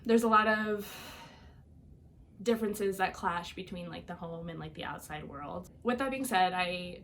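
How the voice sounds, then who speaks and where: A woman's voice: on the low side, measured pace, fairly bright tone, a little hiss or hum. Young woman telling her story casually. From Iowa, United States. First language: Albanian. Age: young adult. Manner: casual, frequent fillers, laughs often. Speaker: guest. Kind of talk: personal storytelling.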